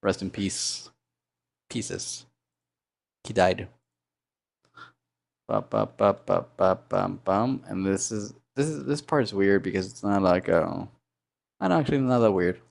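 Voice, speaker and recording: A man with a low baritone voice and a sore throat, chatting casually and humming to himself while he animates on a live stream. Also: unhurried, vocal fry, pauses often. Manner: rambling, thinks aloud, hums and mutters sound effects.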